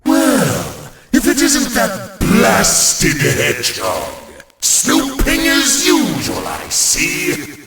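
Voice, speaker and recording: Gravelly, wildly theatrical monstrous villain. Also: male voice, high voice, huge pitch swings, very slow, over music, full character voice. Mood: sneering. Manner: theatrical, pompous, menacing, archaic wording. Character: grandiose, vengeful villain in a new monstrous body. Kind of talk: villain monologue for a horror game. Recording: echoey reverberant hall, distant, loud noise.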